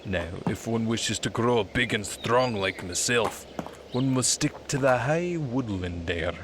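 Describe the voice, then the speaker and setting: A man playing a big, gruff, kindly woodland creature who talks in a proud, old-fashioned way in a storybook audio drama. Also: mid-range voice, expressive, measured pace, natural voice. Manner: old-fashioned, formal speech with archaic words. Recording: noisy, with clear background sound.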